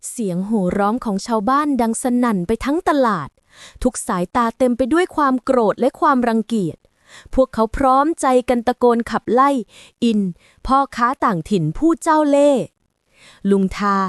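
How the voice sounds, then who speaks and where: A woman's voice: high voice, dry recording, clean studio sound. A woman reading a scripted folk tale as a storyteller, with dramatic narration.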